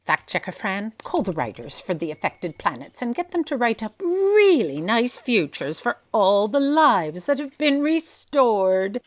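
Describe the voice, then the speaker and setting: A woman's voice: a little high, very animated, a little slow, few pauses. An actress playing a lofty, commanding and blithely oblivious female editor-in-chief in a comedic audio drama. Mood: imperious.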